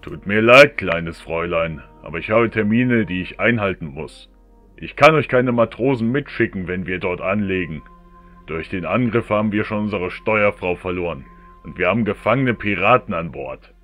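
Man acting a deep-voiced, firm ship's captain. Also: animated, moderate pace, fairly bright tone, soft background music. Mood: regretful, firm. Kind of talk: fantasy audio drama acting.

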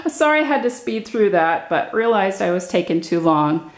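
An older woman giving a casual, unscripted show-and-tell as a craft vlogger, halting and thinking aloud as she goes. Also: mid-range voice, big pitch swings. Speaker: craft vlogger showing her handmade journals. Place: indoors at a table, hands-only overhead camera.

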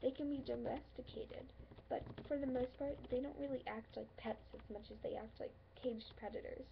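Actress as insecure, wounded young woman in love, stage monologue; high creaky voice, earnest, halting. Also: steady tone. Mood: reflective, wounded. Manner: earnest, pleading, halting with pauses. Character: hurt, insecure, lovelorn young woman confronting her friend. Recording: dull lo-fi, faint background noise.

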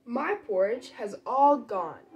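A woman voicing a small baby bear in a storybook reading, squeaking her lines. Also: animated, slow pace, darkish tone, dull audio. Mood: upset.

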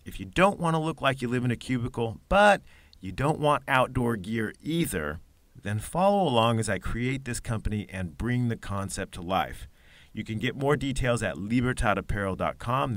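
A man hosting a podcast, reading a measured, scripted show introduction into a close mic. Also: on the low side, fairly bright tone, no room sound. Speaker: podcast host.

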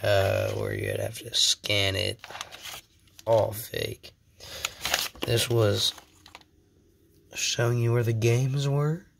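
A young man with a heavily gravelly, low voice rambles slowly through a show-and-tell at home, sounding a little sick. He often slips into whispers. A faint hiss sits under the phone recording. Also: a bit bright. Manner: rambling, self-deprecating, drops to whispers. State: sick, voice slightly off. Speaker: channel host. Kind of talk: casual show-and-tell vlog.

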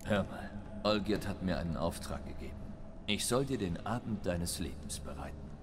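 An actor playing a grizzled middle-aged monster hunter who talks tersely and bluntly in a video game dub. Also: male voice, low-pitched, animated, moderate pace, background noise. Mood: curt. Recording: reverberant with music underneath.

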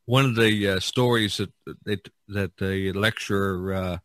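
A man hosting a podcast, telling stories casually and enthusiastically, with a halting delivery. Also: deep voice, fairly even intonation, fairly bright tone, dry recording, studio quality. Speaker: podcast host. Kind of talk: casual conversational storytelling.

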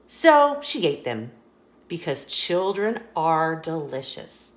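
A woman reading a children's picture book aloud in a playful, expressive storytelling voice, indoors. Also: low-pitched, takes it slowly. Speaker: storybook reader. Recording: roomy echo.

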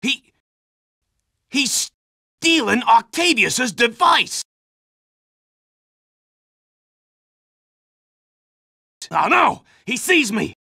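An actor playing a bitter, desperate young news photographer in a video game, melodramatic and talking to himself in a strained, raspy voice. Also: male voice, very high-pitched, big pitch swings, takes it slowly, pushed and bright, dry recording, studio quality, exaggerated voice. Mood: panicked, despairing.

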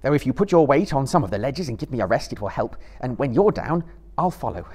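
Gentlemanly hobbit hero, male reader performing: earnest, resolute, well-spoken, lively husky tone for fantasy storytelling. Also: mid-range voice, fast, pitched above the performer's own voice. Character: earnest, determined gentlemanly hobbit adventurer, thoughtful.

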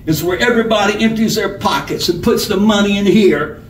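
A swaggering highway robber from a storybook, acted out by a man, bullying his victims in rough, folksy speech. The voice is pitched up and sounds distant, with some background noise. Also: a little fast, natural voice. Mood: menacing. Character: swaggering, menacing male highway robber with blunderbuss. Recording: roomy, distant, noisy home recording.

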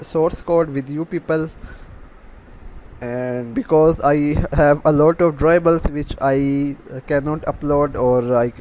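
A man hosting an unscripted programming tutorial, explaining in a halting, repetitive way. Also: on the high side, a little mellow, slight background noise, low-quality recording. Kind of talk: unscripted software tutorial narration.

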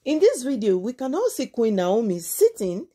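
Slightly husky Nigerian woman host. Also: a little high, big pitch swings, normal speed, dark tone. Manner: switches between English and Yoruba. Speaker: online gossip channel host. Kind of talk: informal celebrity news commentary.

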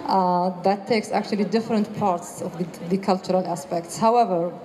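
A woman's voice: medium pitch, steady tone, moderate pace, warm tone, a little hiss or hum. A woman on a panel answering a question at length, with many fillers and restarts, speaking into a handheld mic on a hall stage. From Qatar. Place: stage of a large indoor hall.

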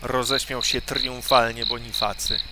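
A man narrating a scripted children's story in a theatrical way, with suspenseful emphasis and pauses. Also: medium pitch, fairly even intonation, heavy background noise.

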